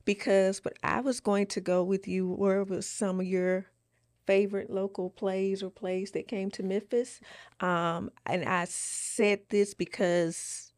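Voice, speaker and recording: A woman's voice: medium pitch, flat delivery, unhurried, a little mellow, no echo. A woman co-hosting a podcast, asking questions in a casual conversational way. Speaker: podcast co-host.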